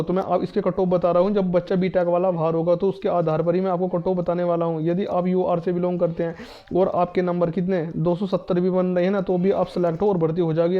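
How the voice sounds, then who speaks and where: A dull, lo-fi recording of a man presenting an update for students. His voice is notably high for a man and stays in a narrow, even range. He addresses his listeners directly, repeating points to reassure them. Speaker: exam-coaching YouTube presenter.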